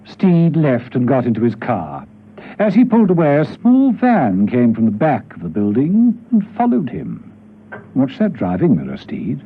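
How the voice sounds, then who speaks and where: An actor playing a wry, dramatic storyteller, narrating and announcing a scripted radio spy adventure. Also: male voice, mid-range voice, wildly swooping pitch, moderate pace, a little hiss or hum. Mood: wry suspense. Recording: dull lo-fi radio, music behind.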